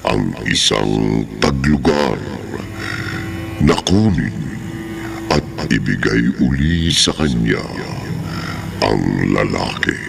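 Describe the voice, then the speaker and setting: A man's voice: rumbling low, expressive, slow, vocal fry, background noise. A man narrating a horror radio drama as host, in an ominous, dramatic manner.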